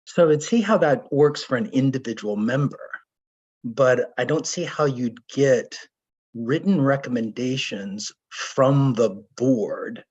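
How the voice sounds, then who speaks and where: A hoarse man speaking up at a public hearing, raising deliberate, probing questions with some restarts over a video call. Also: mid-range voice. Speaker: resident commenting at public hearing. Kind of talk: remote public meeting comment. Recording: dull lo-fi video call audio.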